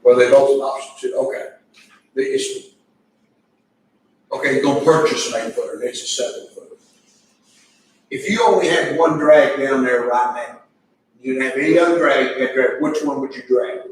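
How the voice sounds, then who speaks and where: A man's voice: mid-range voice, moderate pace, long pauses. Plain-spoken man, distant and echoing. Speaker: county commissioner. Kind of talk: informal meeting motion and discussion. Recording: echoey meeting room, distant mic, faint noise.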